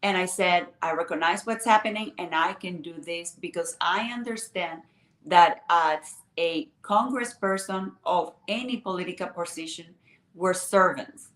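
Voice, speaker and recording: A woman's voice: on the low side, a little slow, a bit bright, reverberant, rough recording. A woman from Venezuela, a congressional candidate answering interview questions earnestly with strings of rhetorical questions.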